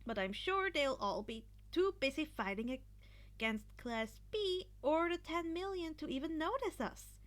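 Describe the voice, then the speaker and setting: A woman's voice: high-pitched, lively intonation, moderate pace, pitched above the performer's own voice. A woman voicing an earnest, analytical teenage boy who explains at length, in an amateur story reading. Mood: eager, explaining. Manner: rambling, explanatory. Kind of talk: amateur fan fiction audio reading.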